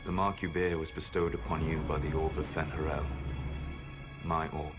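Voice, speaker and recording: An actor playing an ancient elven rebel mage in a video game, sorrowful and proud, speaking in a measured, formal way. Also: male voice, extremely low pitch, flat delivery. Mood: solemn, guarded. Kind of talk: dramatic video game dialogue. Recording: music behind the voice. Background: music.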